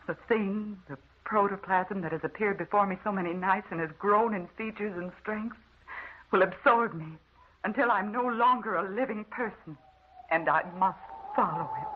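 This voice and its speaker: An actress playing a doomed, haunted wife giving a melodramatic farewell monologue in an old-time radio drama. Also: female voice, medium pitch, a little hiss or hum, natural voice. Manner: melodramatic, slow building dread. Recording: tinny, narrow old radio recording.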